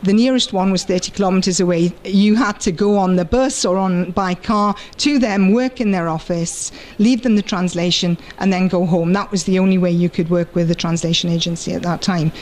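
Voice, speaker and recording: A middle-aged British woman translator, speaking thoughtfully in an interview and pausing often with fillers. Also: fairly low pitch, fairly even intonation, a little mellow. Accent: British English. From United Kingdom. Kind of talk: conference interview and personal story.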